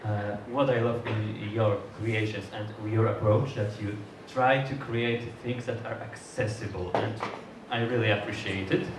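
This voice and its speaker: A man moderating a public talk, speaking English haltingly with many restarts and fillers. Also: lowish voice, steady tone. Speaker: event moderator. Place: lecture hall. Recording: distant mic in an echoey hall with noise.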